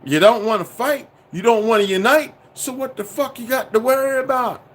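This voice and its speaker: A man's voice: very high voice, normal speed. A man delivering a profane, preachy, insistent monologue to his viewers. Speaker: commentator.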